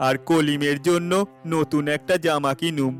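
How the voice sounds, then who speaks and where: A male actor as a poor, devout village farmer in a cartoon. His fairly high male voice is earnest and plaintive, with a rural Bangladeshi Bengali accent, over soft music. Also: fairly even intonation, normal speed, lightly acted. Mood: hopeful, tender. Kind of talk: cartoon voice acting.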